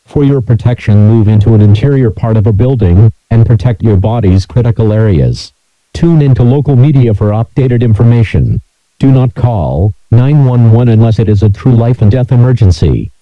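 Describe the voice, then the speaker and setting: A man's synthesized voice reading scripted emergency alert messages in a flat, measured, robotic delivery. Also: medium pitch, dry recording, dull audio.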